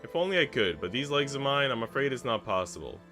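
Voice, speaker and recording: Man putting on a haughty, scheming housemaid, pitched up. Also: big pitch swings, fast, bright, forceful tone. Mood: falsely sweet. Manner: theatrical, condescending, wheedling. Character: haughty older housemaid, scheming, falsely sweet bully. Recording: music behind the voice.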